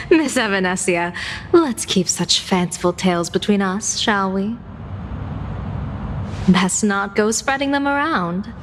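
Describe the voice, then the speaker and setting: An actress playing a courteous female general and diviner in a video game, measured, formal and dryly witty. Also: mid-range voice, lively intonation, slight background noise. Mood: dryly teasing. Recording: long reverb with music behind.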